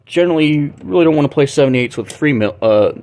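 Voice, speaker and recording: A man's voice: on the high side, expressive, fast, darkish tone. A young American man chatting casually and thinking aloud as he demonstrates something, in a rambling way. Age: young adult. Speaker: vlogger, record collector. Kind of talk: casual chat while demonstrating. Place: small bedroom, indoors.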